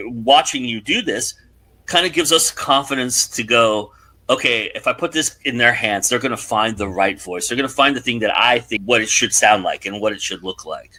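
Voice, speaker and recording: A man's voice: medium pitch, bright, forceful tone, low-quality recording. A man hosting a conversational interview, thinking aloud in long, reflective points. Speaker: interview host and filmmaker.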